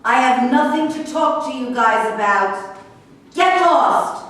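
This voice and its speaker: Echoey, distant, defiant sharp-tongued woman played by an actress. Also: high voice, animated, slow, heavily acted. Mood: defiant, irritated. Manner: sarcastic, confrontational. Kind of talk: staged play reading. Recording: echoey room, distant mic, faint noise.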